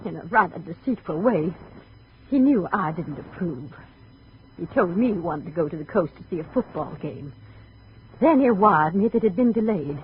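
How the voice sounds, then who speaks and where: A woman's voice: fairly low pitch, animated, moderate pace, close to an everyday voice. A woman playing an anxious, possessive middle-aged mother, fretful and insistent, in 1950s radio drama style. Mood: wounded, fretful. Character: possessive, anxious, self-pitying wealthy mother of grown son. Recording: dull, noisy 1950 radio recording.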